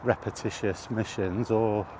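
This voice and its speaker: A British man giving rambling, casual commentary while walking outdoors, halting and thinking aloud as he goes. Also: lowish voice, fairly even intonation. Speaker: video host. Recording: outdoor ambient noise throughout.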